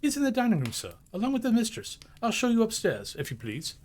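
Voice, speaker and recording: A man's voice: high-pitched, wildly swooping pitch, quick pace, darkish tone, pitched above the performer's own voice, full character voice. A man voicing a polite, deferential young servant girl in a storybook reading. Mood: polite, helpful. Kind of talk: storybook character voice.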